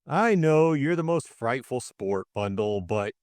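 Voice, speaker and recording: A man's voice: medium pitch, animated, a little slow, darkish tone, no room sound, pitched above the performer's own voice, lightly acted. A man voicing an anxious, earnest upper-class young man in an audiobook drama, hesitant and full of old-fashioned exclamations. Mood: worried, earnest.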